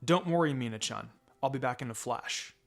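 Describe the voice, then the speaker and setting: A man's voice: medium pitch, fairly quick, creaky, close to an everyday voice. A man voicing a heroic, protective father and ninja leader in a dramatic story reading, earnest and reassuring, over music. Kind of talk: dramatic fan fiction reading. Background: background music.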